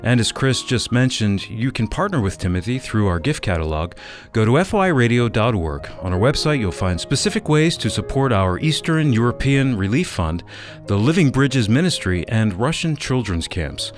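A man's voice: medium pitch, big pitch swings, normal speed, soft background music. A man working as a radio announcer, reading a scripted promotional announcement.

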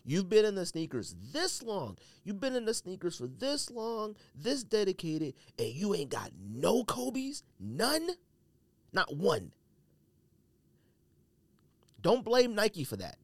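A man's voice: high voice, huge pitch swings, unhurried, no echo. An American man hosting a solo podcast, giving opinionated commentary in slangy, colloquial speech and repeating phrases for emphasis. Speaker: podcast host.

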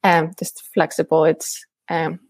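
A woman who teaches languages and writes books, speaking as an interview guest in a thoughtful, hesitant way with many pauses. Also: low voice, flat delivery, a little mellow, no room sound.

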